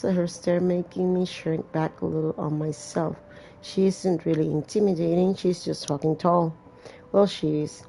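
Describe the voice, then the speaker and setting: A woman's voice: lowish voice, vocal fry, mellow, rough recording. A woman reading a visual novel aloud as a gamer, with casual, irreverent and sweary asides. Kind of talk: let's-play reading with casual commentary.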